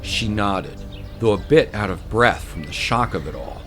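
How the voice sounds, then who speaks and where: A man's voice: on the low side, animated, normal speed. A man narrating scripted dramatic fiction as a storyteller. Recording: music bed under the voice. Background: music.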